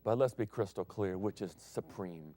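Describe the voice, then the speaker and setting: A man preaching a broadcast sermon as pastor, swinging between loud emphatic declarations and soft hushed phrases. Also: fairly low pitch, normal speed, dry recording. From Thailand.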